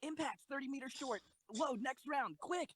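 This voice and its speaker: A man voicing a meek young tank crew lookout with a breathy voice in an amateur audio drama. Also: very high voice, normal speed, a bit bright, no room sound, pitched above the performer's own voice. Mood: urgent.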